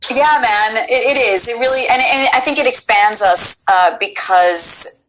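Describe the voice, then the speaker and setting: A woman's voice: on the high side, unhurried, low-quality recording. A woman voice actress talking casually and animatedly, with lots of fillers. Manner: chatty, many fillers, animated. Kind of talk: casual interview chat.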